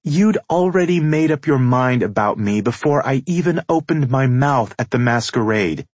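A man's voice: medium pitch, expressive, moderate pace, dry recording, pitched above the performer's own voice, lightly acted. A man voicing a proud, wounded young woman in an audiobook, bitter and defiant, with cutting emphasis. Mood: accusing, defiant. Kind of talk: audiobook character dialogue.

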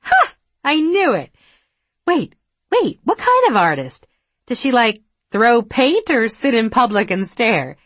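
A chatty, tipsy young woman from the American South, voiced by a female audiobook reader. She gushes and teases in a lifted, very lively voice with a Southern lilt. The sound is dry and intimate. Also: on the high side, takes it slowly, no echo, voice pushed up, full character voice. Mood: excited, amused. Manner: gushing, excitable, teasing. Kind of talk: audiobook character dialogue.

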